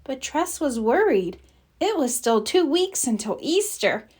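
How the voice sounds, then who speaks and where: A woman's voice: high voice, very animated, a little slow, a little mellow. A woman narrating a scripted children's story as a read-aloud.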